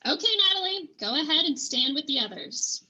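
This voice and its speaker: A brash, high-energy female burlesque host, acted by a woman in a table read, teases and rallies the club crowd. Her voice sits high and rings out bright and forceful. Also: expressive, a little fast, full character voice, rough recording. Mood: commanding, upbeat. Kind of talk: stage emcee patter in a table read.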